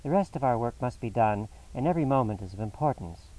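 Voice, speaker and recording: A man reading an audiobook voices a cool, incisive detective in a crisp, measured manner. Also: mid-range voice, expressive, mellow, far from the mic, lightly acted, poor audio.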